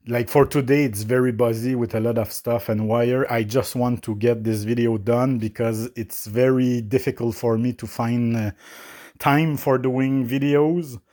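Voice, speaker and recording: Slow, hesitant man reviewing coffee gear. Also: medium pitch, a little mellow. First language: not English. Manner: hesitant, many filler sounds and restarts. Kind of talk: unscripted product review. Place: small apartment kitchen coffee station.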